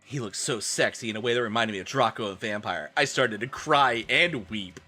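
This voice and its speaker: A man streaming a comedic dramatic reading in a theatrical, expressive manner. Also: medium pitch, brisk.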